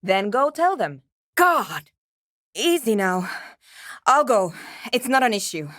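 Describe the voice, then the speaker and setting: A woman playing a brisk, matter-of-fact programmer and radio tinkerer in a video game, speaking in dry, precise, curt technical phrases. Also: on the high side, very animated, fairly bright tone, no room sound, heavily acted. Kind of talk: video game character dialogue.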